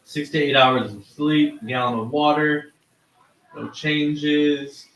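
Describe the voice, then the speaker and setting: Slow man reading check-ins from afar. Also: on the high side, little pitch movement, fairly bright tone, dull audio. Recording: echoey, distant from the mic.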